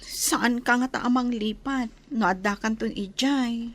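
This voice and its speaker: A woman as a high-voiced, sweet Ilocano sweetheart. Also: measured pace, natural voice. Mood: worried. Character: sweet young village sweetheart, affectionate and playful. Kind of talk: Ilocano radio drama acting.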